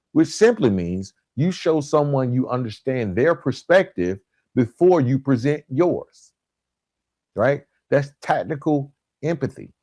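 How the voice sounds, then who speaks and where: A man hosting a motivational self-help podcast, speaking in an emphatic, instructional way with deliberate pauses and stressed key words. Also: mid-range voice, darkish tone, no room sound, studio quality. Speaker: podcast host.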